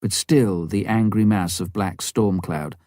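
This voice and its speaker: A man narrates a story in a low, mellow voice. His delivery is measured and dramatic, with deliberate pauses, and the recording is dry and close. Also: dry recording. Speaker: audiobook reader.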